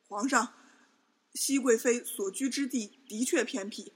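A woman's voice: a little high, measured pace, slightly rough. A woman playing an earnest male court physician in a Chinese period audio drama, formal and urgent. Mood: urgent pleading.

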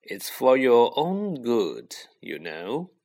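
A man voicing a mischievous poltergeist in a storybook, taunting in a drawn-out, gleeful sing-song. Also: medium pitch, wildly swooping pitch, darkish tone, heavily acted.

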